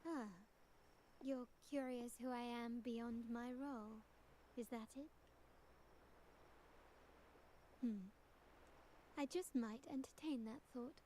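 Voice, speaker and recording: A woman's voice: a little high, fairly even intonation, measured pace, long pauses, mellow, slight background noise, natural voice, rough recording. A woman voicing a poised, shrewd young agent in a video game, elegant and coolly teasing. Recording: game music behind the voice.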